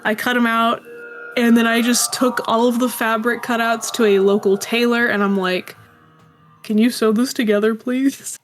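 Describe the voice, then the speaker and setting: A woman's voice: fairly high pitch, flat delivery, normal speed, soft background music. Young woman, wry casual interview guest. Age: young adult. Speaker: guest, indie animation and audio drama creator.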